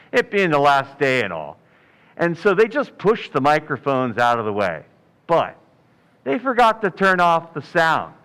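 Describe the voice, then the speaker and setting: Man as radio-drama police lieutenant, wry, folksy, falsely modest, lively pitch, smooth clear voice. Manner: drawling false modesty, hesitant asides. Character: wry, shrewd male police lieutenant, folksy, patiently needling. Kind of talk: old-time radio crime drama acting.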